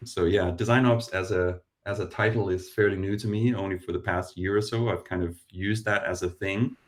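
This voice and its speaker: A man with a very low voice and a faint Danish accent, speaking over a video call. He talks modestly and pokes fun at himself, often stopping to restart his sentences. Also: fairly even intonation. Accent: Danish, softened. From Denmark. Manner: modest, self-deprecating, halting restarts. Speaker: panelist. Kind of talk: panel introduction. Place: London.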